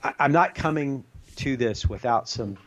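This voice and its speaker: A man's voice: medium pitch, expressive, normal speed. A middle-aged man who is a retired army intelligence officer, giving earnest political commentary as a radio guest and often restarting his sentences. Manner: earnest, stop-start, repeats words while thinking.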